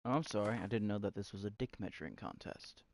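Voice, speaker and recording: A young man giving gameplay commentary with sarcastic, swearing banter and sudden high startled yelps. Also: fast talker, noisy background. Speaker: gamer.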